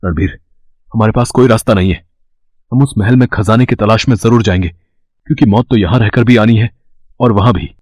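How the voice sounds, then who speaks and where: A man playing a desperate, excitable treasure hunter in a dramatized audio story, with urgent delivery. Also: fairly low pitch, a little fast, pauses often, dry recording, studio quality, lightly acted. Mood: uneasy.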